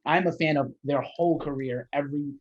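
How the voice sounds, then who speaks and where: Husky-voiced enthusiastic interviewer, lo-fi. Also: male voice, a little high, steady tone, fairly quick. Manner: enthusiastic fan, rambling. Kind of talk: casual interview chat.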